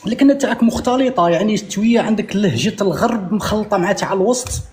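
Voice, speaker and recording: A man's voice: high voice, animated, fast, a little mellow. An Algerian man with an Algerian Arabic accent, calling into a live stream and defensively arguing back. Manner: argumentative, defensive. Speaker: caller.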